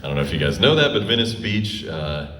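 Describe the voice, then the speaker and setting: A man's voice: low voice, sing-song, fast, a bit bright, a little hiss or hum. A forty-year-old man telling comic stories to a live audience in a wry, self-deprecating way, often laughing as he talks. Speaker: touring performer telling stories on stage. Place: live stage show before an audience. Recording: roomy live stage, distant mic.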